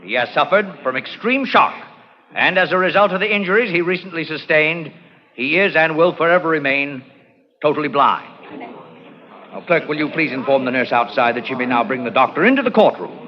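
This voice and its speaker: A man's voice: fairly high pitch, expressive, brisk, lightly acted. An actor playing a stern, accusing male prosecutor with formal, theatrical oratory in a 1940s radio drama. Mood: solemn, insistent. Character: stern, accusing courtroom prosecutor, grown man. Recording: muffled old radio recording.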